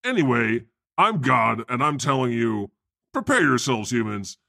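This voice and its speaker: A man's voice: medium pitch, sing-song, a little slow, vocal fry, sharp tone, no echo, studio quality, heavily acted. Man playing a brash, sweary God, closely miked. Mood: insistent, ominous. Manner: emphatic, sweary, insistent. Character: God, brash, foul-mouthed, know-it-all male deity hosting. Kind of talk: comedic podcast banter.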